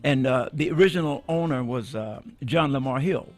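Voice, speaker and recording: An older man from Mississippi tells stories from his radio days. He is folksy and chuckling, and he often restarts his sentences. Faint music plays quietly behind him. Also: medium pitch, normal speed. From Mississippi, USA. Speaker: guest, radio DJ and minister. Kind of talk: relaxed storytelling interview.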